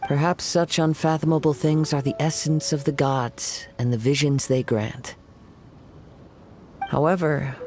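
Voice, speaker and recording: Cast: man playing a polite, measured young swordsman, mid-pitched, formal speech, for a fantasy game. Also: darkish tone, natural voice. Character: courteous, scholarly young swordsman, male. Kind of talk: game voice acting.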